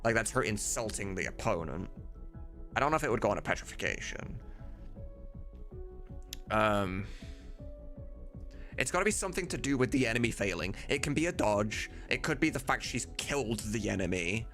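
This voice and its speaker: A man's voice: on the low side, expressive, moderate pace, pauses often, a bit bright. A man talking casually and thinking aloud on a livestream, enthusiastic and emphatic, with some mild swearing. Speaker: game mod voice director and streamer. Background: music in the background.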